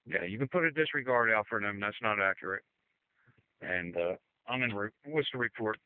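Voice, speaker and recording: A man's voice: on the low side, normal speed, clean tone, no echo. Terse male fire officer on narrowband radio. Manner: terse, procedural, matter-of-fact. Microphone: two-way radio. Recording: phone-like narrowband two-way radio.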